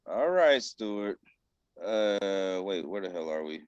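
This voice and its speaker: A man's voice: deep voice, animated, slow, a little mellow, smooth, no room sound. A man reading the part of a school principal, formal and polite, in a table read over a video call. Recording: dry, dull video call audio.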